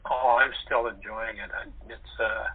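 A man chatting as an interview guest, halting as he searches for words. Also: lowish voice, fairly even intonation, slightly rough. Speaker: radio play actor, guest. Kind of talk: casual interview chat. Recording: tinny narrow phone line.

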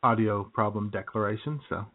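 A man's voice: fairly low pitch, fairly quick. Joking man on a tinny phone line. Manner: jokey, deadpan, laughs at his own lines. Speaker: co-host of an internet radio show. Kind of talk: casual banter on a call-in radio show. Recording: tinny phone-line internet radio audio.

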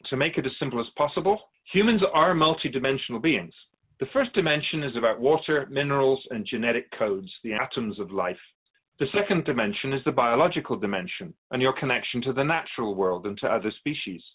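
A man's voice: mid-range voice, animated, breathy edge. A man as a knowing otherworldly spirit guide, phone-like sound. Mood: calm, explanatory. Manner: measured, knowing, didactic. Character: calm otherworldly spiritual being explaining humanity to humans. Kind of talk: radio drama interview.

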